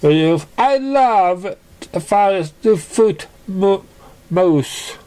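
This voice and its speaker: A man voicing a silly, eager young female Pegasus pony with an excitable, gushing delivery. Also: high voice, very slow, smooth, pitched above the performer's own voice, full character voice. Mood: excited.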